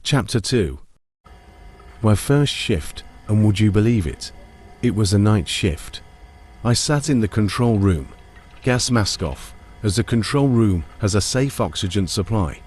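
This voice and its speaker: Lowish-voiced man narrating a dramatised audiobook. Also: lively intonation, a little slow, a little mellow. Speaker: narrator and lead character.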